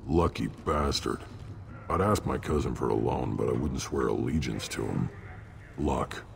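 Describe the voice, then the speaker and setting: An actor playing a surly, blunt alien warrior in a video game, gruff and dismissive, with a deep gravelly voice. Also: male voice, lively intonation, unhurried, full character voice. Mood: sardonic. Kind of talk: video game character acting. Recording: long reverb, clear background noise.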